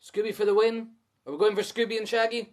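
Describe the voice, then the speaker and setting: A man's voice: high-pitched, little pitch movement, quick pace, a little mellow. Man hosting an interactive storytime. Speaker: storytime host and reader. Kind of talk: live storybook reading with chatty asides.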